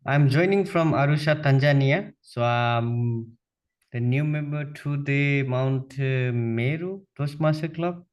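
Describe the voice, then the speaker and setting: A man from Nepal introducing himself as a new member, hesitant and pausing with fillers. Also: mid-range voice, muffled recording. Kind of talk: self-introduction on a video call.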